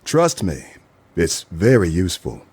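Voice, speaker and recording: Man playing a low-voiced, dry noir private eye. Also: a little slow, husky, no echo, full character voice. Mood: earnest, persuasive. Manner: dry, deadpan. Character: weary, suspicious male private detective, dryly sardonic. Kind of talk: noir radio play acting and narration.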